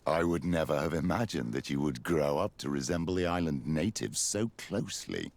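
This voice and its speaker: An actor playing an older, smooth-tongued bishop in a video game, with a courtly, theatrical manner. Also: male voice, rumbling low, expressive, normal speed, no echo, exaggerated voice. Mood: flattering, courtly. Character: older male bishop, flattering, smooth schemer.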